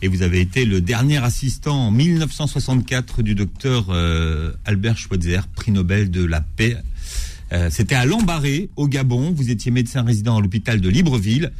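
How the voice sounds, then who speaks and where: A male radio host interviewing a guest, asking lively, teasing questions. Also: medium pitch, fairly quick.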